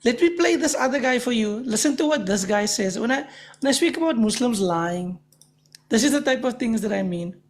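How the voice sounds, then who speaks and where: A woman's voice: fairly high pitch, lively intonation, fairly quick. A woman hosting a livestream discussion, speaking in an emphatic, pointed way. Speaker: livestream host. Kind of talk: casual debate and chat. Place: livestream call.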